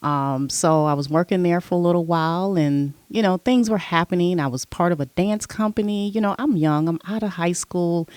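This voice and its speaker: A middle-aged woman, a podcast guest, telling stories from her past in a relaxed, conversational way. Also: on the low side, normal speed, hardly any pauses, clear, resonant tone, dry recording. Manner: relaxed storyteller, recounting her past at length. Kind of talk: casual interview chat.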